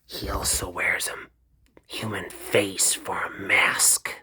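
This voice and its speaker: A man voicing a cold, commanding woman boss, speaking in a slow, hushed, breathy whisper for a fan-made cartoon. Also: lowish voice, dry recording. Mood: calm, ominous. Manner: slow, calm, ominous.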